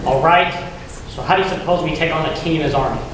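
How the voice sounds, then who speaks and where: A young man playing a scornful skeptic in a high school stage play. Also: fast, close to an everyday voice. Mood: scornful, challenging. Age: young adult. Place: school theatre stage, distant microphone. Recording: echoey stage from far off, faint noise.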